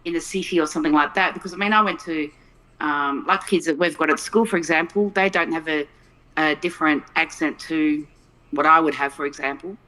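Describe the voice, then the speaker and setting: A woman's voice: low-pitched, steady tone, fairly quick, bright, forceful tone, poor audio. A woman from Melbourne with an Australian accent, chatting casually and thinking aloud as she explains things. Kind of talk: casual chat.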